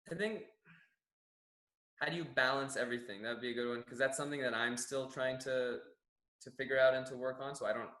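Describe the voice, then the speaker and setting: A man's voice: mid-range voice, a bit bright, reverberant. A young Canadian man whose first language is Farsi, a gym general manager answering interview questions in an earnest, reflective way with many restarts. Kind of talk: staff profile interview answers.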